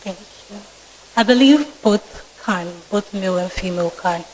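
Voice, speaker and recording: Quiet young ghanaian woman answering a vetting panel, polite and formal, into a mic. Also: medium pitch, moderate pace, slight background noise, low-quality recording. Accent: Ghanaian English. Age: 20s. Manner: soft-spoken, polite and formal. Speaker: student candidate being vetted. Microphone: microphone with feedback.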